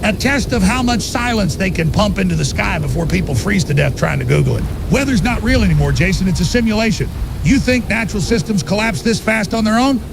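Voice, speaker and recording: A man's voice: animated, brisk. A man acting a defiant, paranoid conspiracy theorist as a guest in a radio drama, emphatic and rhetorical. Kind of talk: radio interview rant. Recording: clear low rumbling noise.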